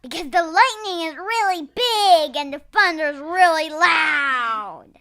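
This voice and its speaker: A woman's voice: very high-pitched, lively intonation, slow pace, sharp tone. A female speaker with a childlike delivery, giving simple replies in a teaching demonstration.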